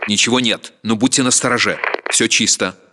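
An actor playing a wary Russian soldier on patrol in a video game, speaking in terse, watchful lines. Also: male voice, fairly low pitch, brisk, noisy background, natural voice. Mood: wary, suspicious. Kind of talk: video game guard chatter.

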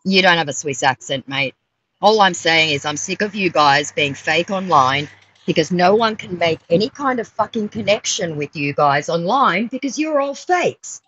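A woman's voice: on the low side, lively intonation, moderate pace, a bit bright, husky, dull audio. A middle-aged woman on a video call, sarcastic and mocking as she scolds the other person. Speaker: scambaiter. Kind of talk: confrontational phone call. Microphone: phone on a video call.

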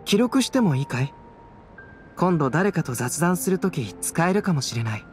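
A man playing a calm, cerebral young alchemist in a Japanese anime game, speaking in a measured, soft-spoken, enigmatic way. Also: on the high side. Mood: composed, detached. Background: background music.

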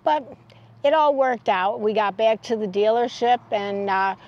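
An older woman with an American accent and a somewhat high voice chats casually. She recounts a trip like a travel vlogger, outdoors, on slightly dull-sounding audio. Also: a little slow. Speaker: travel vlog host. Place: outdoors in open air beside a car.

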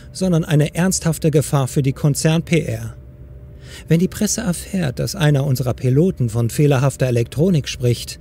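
A man's voice: on the high side, warm tone, slight background noise, natural voice. A man playing an officious, accusing, ambitious lieutenant in a German audio drama.

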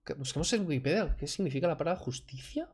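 A man's voice: fairly high pitch, fast. A man, commenting sarcastically on a video clip his home desk. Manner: sarcastic, animated, mocking. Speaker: reaction streamer. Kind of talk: casual commentary over a clip. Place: home room, indoors. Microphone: desk mic, wearing headphones.

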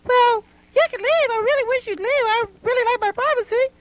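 A man's voice: very high-pitched, fairly quick, a bit bright, no room sound, rough recording. A man voicing a hapless, whiny male doll in a homemade puppet comedy skit, wailing and exclaiming like a perpetual victim. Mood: whiny, chatty.

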